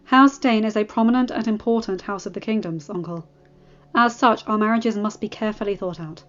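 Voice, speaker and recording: A woman's voice: medium pitch, a little fast, lightly acted. A woman voicing a polite, earnest young nobleman making a formal request. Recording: dull audio with faint music.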